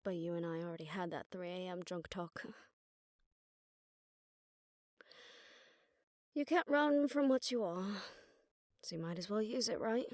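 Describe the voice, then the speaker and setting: A woman's voice: on the low side, lively intonation, fairly quick, a little mellow, no room sound, studio quality, close to an everyday voice. A woman playing a jaded, sardonic witch detective who sees visions of death, talking conversationally to a partner in a roleplay audio drama. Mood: resolute, practical.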